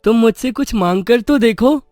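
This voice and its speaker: Man voicing a high-pitched magical talking carrot. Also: moderate pace, a little mellow, no room sound, clean studio sound. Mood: earnest bargaining. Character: friendly magical talking carrot, pleading yet generous. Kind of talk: animated moral story acting.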